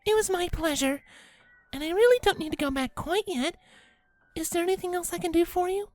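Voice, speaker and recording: A narrator voicing a shy, sweet, gentle pegasus mare with a soft, polite manner. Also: very high voice, fairly quick, no room sound, faint music behind, voice pushed up. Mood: gentle, caring.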